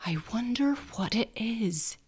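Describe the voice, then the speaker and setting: A woman's voice: a little high, expressive, slow pace, a little mellow, breathy edge, full character voice. Woman voicing an earnest, wondering young lad. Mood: yearning. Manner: dreamy, questioning, exclamatory. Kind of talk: audiobook character voice.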